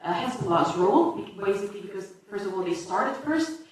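A woman's voice: mid-range voice, animated, far from the mic. Lebanese woman speaking in an echoey hall. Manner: halting, many fillers and self-corrections. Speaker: conference speaker. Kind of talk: academic paper presentation.

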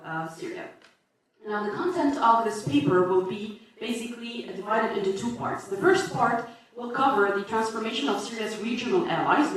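A woman from Lebanon with a Lebanese accent presenting an academic paper, halting with many fillers and self-corrections. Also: medium pitch. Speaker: conference speaker. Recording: echoey hall from far off.

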